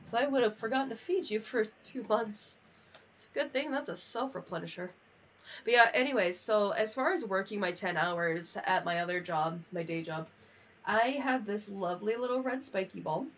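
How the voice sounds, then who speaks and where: A woman's voice: medium pitch, animated, moderate pace, fairly bright tone. A young American woman rambling casually on a livestream while gaming, chatty and unfiltered with frequent swearing. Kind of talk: casual rambling livestream chat over a video game. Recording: dull lo-fi mic with clear background noise.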